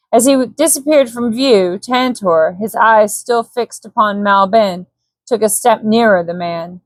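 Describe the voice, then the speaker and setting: A woman reading a scripted bedtime story as a calm audiobook narrator. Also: mid-range voice, dry recording.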